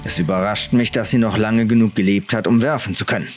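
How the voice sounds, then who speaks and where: A man voicing a stern middle-aged lord and father in a fantasy audiobook, sober and measured. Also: lowish voice, steady tone, faint music behind, lightly acted. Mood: grave.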